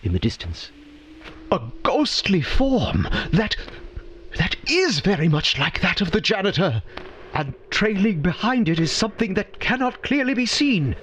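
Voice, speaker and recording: A man's voice: sits high, wildly swooping pitch, measured pace, fairly bright tone, a little hiss or hum, exaggerated voice. A man playing a theatrical narrator in an audio drama, telling the story with suspense. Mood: suspenseful.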